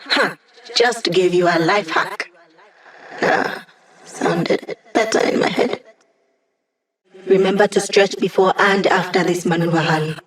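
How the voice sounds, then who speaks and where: A woman's voice: lowish voice, animated, measured pace, vocal fry, long pauses, poor audio. A woman voicing an upbeat, quirky young hacker who lives as a digital being in a video game, chatty, playful and wry. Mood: playful, teasing. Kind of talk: video game character dialogue.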